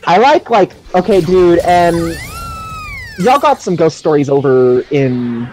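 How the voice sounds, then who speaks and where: Man, slightly high voice, casual livestream chat, excitable, rambling, sweary, soft background music. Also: measured pace, poor audio. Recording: music behind the voice.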